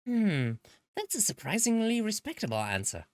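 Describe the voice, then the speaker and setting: A woman's voice: mid-range voice, big pitch swings, moderate pace, no room sound, clean studio sound, voice pushed up, close to an everyday voice. A woman voicing a breezy, cryptic and sinister young woman from a visual novel, teasing in a sing-song cheer. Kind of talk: visual novel character dubbing.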